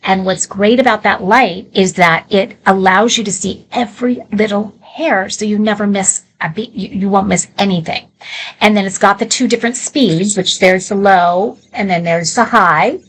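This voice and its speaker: A woman's voice: medium pitch, moderate pace, slight background noise, low-quality recording. Chatty woman hosting live shopping. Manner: chatty, candid, conversational asides. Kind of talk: informal live product demonstration.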